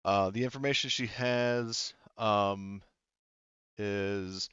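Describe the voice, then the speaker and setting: A man's voice: on the low side, fairly even intonation, takes it slowly, a bit bright, clean tone, no room sound. A man with a clean, resonant voice acts as game master of a tabletop game. He improvises his narration, rambling and padding it with fillers. The audio is close and dry, a little muffled. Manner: hesitant, rambling, with many filler words.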